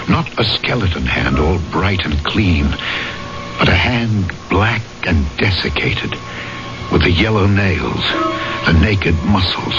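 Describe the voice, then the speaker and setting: Radio horror role: solemn, dread-laden narrator, bass voice, dull old sound with music underscore. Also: male voice. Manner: grave, deliberate, descriptive. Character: grave man recounting a horror with dread. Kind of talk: 1970s radio drama narration.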